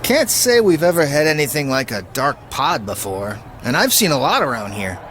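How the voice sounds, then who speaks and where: Game cutscene role: evasive male veteran, terse, fairly high voice with lively pitch. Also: normal speed. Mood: guarded, curt. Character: guarded, terse backstage veteran man. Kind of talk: video game cutscene dialogue. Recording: faint background noise.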